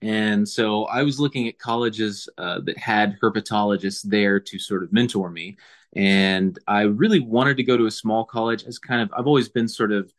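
Low-voiced man musing on his past. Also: fairly even intonation, normal speed. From Indiana, USA. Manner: thoughtful, rambling with restarts. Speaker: guest biologist. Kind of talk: casual interview answers.

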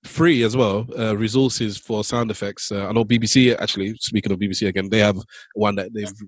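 Quick-talking male podcast host, dry studio sound. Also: on the low side, steady tone, no echo. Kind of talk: casual conversational interviewing.

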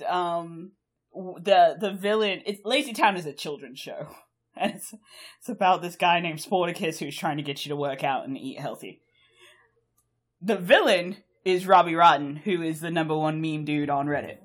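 A woman's voice: lowish voice, moderate pace. A young woman co-hosts a podcast in loose, rambling chat. She laughs often and swears freely as she talks. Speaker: podcast co-host.

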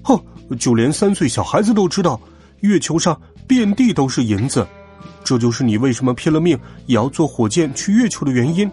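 A man voicing a stubborn, suspicious young storybook sidekick, loud and blustering. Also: fairly high pitch, very animated, a little fast, soft background music. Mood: scornful.

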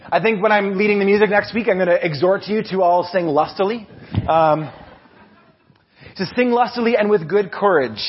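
A man with a loud voice preaching a casual, animated sermon, restarting his sentences often and poking fun at himself. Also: high voice, husky, muffled recording. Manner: animated, self-deprecating, many restarts. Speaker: preacher and music leader. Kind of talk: conversational sermon.

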